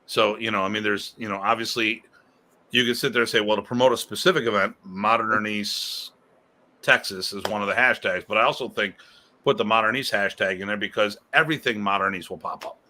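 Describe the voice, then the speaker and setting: A man co-hosting a podcast in casual conversation, talking in an animated, emphatic way with casual swearing. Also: on the low side, brisk. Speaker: podcast co-host.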